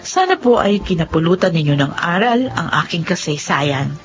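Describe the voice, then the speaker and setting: A woman's voice: on the low side, lively intonation, normal speed, muffled recording, lightly acted. A woman with a Filipino accent playing an earnest, respectful daughter who was wronged, in a radio drama. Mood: reflective, hopeful.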